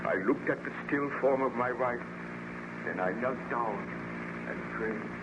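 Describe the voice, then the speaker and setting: An actor playing a tormented, vengeful scientist with earnest, formal melodrama in an old-time radio horror serial. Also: male voice, medium pitch, a little slow, muffled recording, close to an everyday voice. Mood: grieving. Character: anguished, vengeful male scientist, tormented by his creation. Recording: noisy, band-limited old radio recording.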